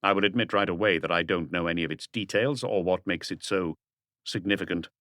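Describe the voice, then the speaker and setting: A man voicing a shrewd police detective in an audiobook, speaking in a quiet, casual, measured way. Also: low-pitched, dry recording, clean studio sound. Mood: calm, probing. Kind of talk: audiobook character dialogue.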